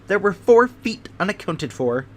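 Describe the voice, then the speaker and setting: A man voicing an excitable, fragile hypochondriac gentleman who chatters eagerly in a high voice, in a storybook reading. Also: unhurried. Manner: high voice rising above the noise, eager chatter.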